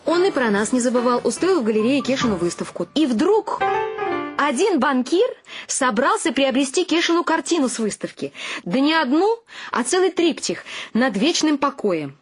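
A woman telling the story of her bohemian family in a tragicomic radio play. Her voice is high, and music runs clearly underneath the narration. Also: big pitch swings, moderate pace, full character voice. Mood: animated storytelling.

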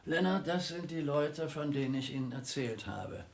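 An actor playing a decisive, wry leader of a scheming crew in a radio drama. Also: male voice, on the high side, normal speed, a little hiss or hum, close to an everyday voice. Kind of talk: 1980s radio drama dialogue.

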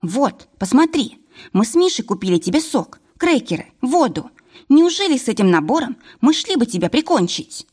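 Woman acting out panicky, hot-tempered young woman, high-pitched. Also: big pitch swings, unhurried, darkish tone, husky, heavily acted. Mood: pleading. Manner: exclamatory, agitated, pleading.

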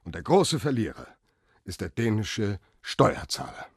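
An actor playing a pointed, factual television reporter delivering a scripted news report in a radio play. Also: male voice, lowish voice, sing-song, unhurried, breathy edge, no room sound, studio quality, heavily acted.

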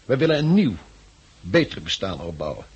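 A very husky male voice in a 1960s radio play. He is a friendly helicopter pilot recruiting for an island colony. His delivery is measured, courteous and explanatory. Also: low voice, sing-song, exaggerated voice. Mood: earnest, persuasive. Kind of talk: 1960s Dutch radio drama acting. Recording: muffled 1960s radio drama recording.

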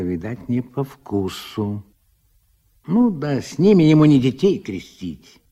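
A male narrator of a Soviet radio drama, reading scripted prose. His husky, mid-pitched voice moves with lively intonation.

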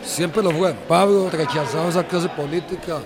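A man's voice: fairly high pitch, steady tone, a little fast, heavy background noise. A man recalling things in an interview, repeating phrases for emphasis. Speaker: interviewee, childhood friend of the subject. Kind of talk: emphatic spoken recollection.

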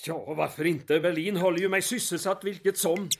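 Male actor as exasperated mayor, high voice, dry sarcasm, put-upon, audio drama. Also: steady tone, background noise. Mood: sardonic.

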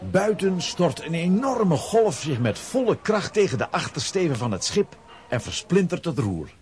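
A man narrating a children's radio play in a dramatic, expressive storytelling style. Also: a little high, moderate pace.

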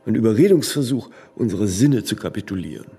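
An actor playing a stubborn, impatient ageing artist in a radio play, husky and creaky, insistent, often ending on small tag questions. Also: male voice, fairly low pitch, expressive, fairly quick, mellow, soft background music. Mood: musing, persuading.

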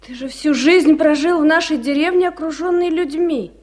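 An actress playing a caring mother who appears young in memory, gentle and solicitous, in a 1970s radio drama. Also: high-pitched, moderate pace, close to an everyday voice. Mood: gently insistent.

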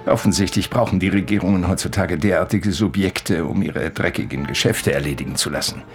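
An actor playing a grave, learned professor in a German radio play, cryptic and disdainful, with a pompous, deliberate manner. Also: male voice, sits low, soft background music.

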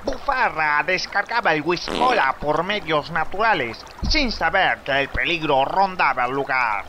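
A man plays a melodramatic narrator for a police radio serial. His voice is a little high for a man. Clear noise runs behind him. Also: lively intonation, normal speed, pushed and bright. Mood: suspenseful.

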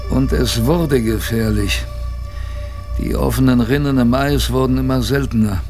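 A man's voice: mid-range voice, vocal fry, a little mellow. An actor playing a former ship's boy who narrates his memories in a radio drama, conversational, vivid and self-mocking. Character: reminiscing former ship's boy, a wry male storyteller.

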